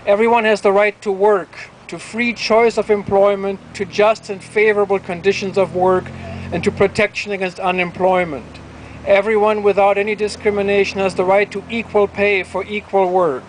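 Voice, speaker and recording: A man's voice: high voice, flat delivery, normal speed, low-quality recording. A man reading a formal declaration aloud as a public reader at an outdoor event. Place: outdoor town plaza. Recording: outdoor street noise behind.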